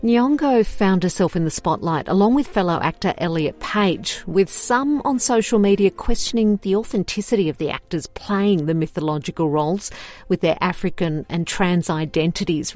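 A woman narrating a scripted news report in a measured, even tone. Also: on the low side.

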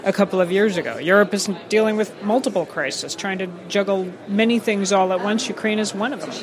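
A woman's voice: medium pitch, fairly quick, a crowd in the background. A woman radio interviewer asking probing questions at the busy entrance of a conference.

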